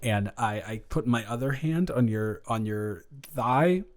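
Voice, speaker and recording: A man playing a smitten, teasing young man in an improvised romantic audio roleplay, speaking in a bantering, halting way. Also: medium pitch, animated, close to an everyday voice. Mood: fond, resigned. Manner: bantering, halting, with stammers and breathy laughs. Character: smitten, teasing young man confessing love to his best friend.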